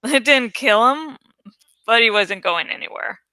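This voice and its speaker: A woman reads aloud in an expressive, informal way, like a host sharing a favorite story. Her voice is fairly high, bright and very clear, and she takes her time. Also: unhurried, no echo. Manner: informal, self-correcting. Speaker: amateur audiobook reader and channel host. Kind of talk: expressive story narration with casual asides.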